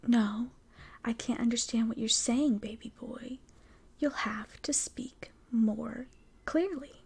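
Woman, whispered roleplay voice, teasing and coaxing, mellow with a gravelly edge. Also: on the high side, slow pace. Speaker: audio roleplay performer.

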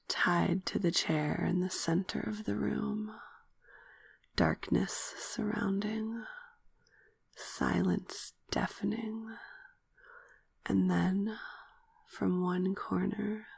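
A woman narrating a scripted, sensual first-person story in a hushed, breathy whisper, speaking in short deliberate phrases. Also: mid-range voice, flat delivery, dry recording. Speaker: narrator of erotic audio story.

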